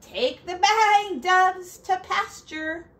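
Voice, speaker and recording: A woman voicing a bossy male rooster who gives loud farm orders in a children's storybook. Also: very high voice, expressive, drawn-out words, pitched above the performer's own voice, exaggerated voice. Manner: bossy, commanding.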